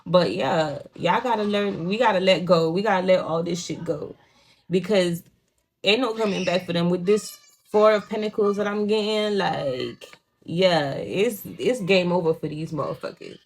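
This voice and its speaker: A woman's voice: on the low side, moderate pace, slight background noise. A young woman with a Haitian Creole accent, a native Creole speaker, giving a casual tarot reading in blunt, profane talk full of "you know what I'm saying". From Haitian home. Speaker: tarot reader.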